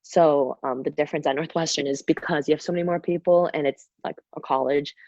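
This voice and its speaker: Low-voiced young woman on a video call. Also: steady tone, a little fast, mellow, no echo. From Shanghai, China. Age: young adult. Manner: chatty, many fillers and "kind of". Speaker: student webinar host. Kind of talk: casual presentation. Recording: dull, dry video call audio.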